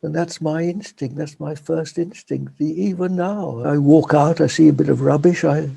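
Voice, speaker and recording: An older man talks slowly and reflectively as an interview guest. His voice is gravelly and husky, mellow and dark in tone, a little higher than most men's. He laughs softly between thoughts. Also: rough recording. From England.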